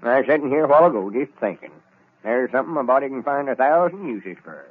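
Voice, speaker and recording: An actor playing a self-assured old country storekeeper with a rural Southern accent in a 1930s radio comedy. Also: male voice, mid-range voice, expressive, fast, breathy edge. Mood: confident. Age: older. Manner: folksy, confident. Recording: muffled, thin old radio recording.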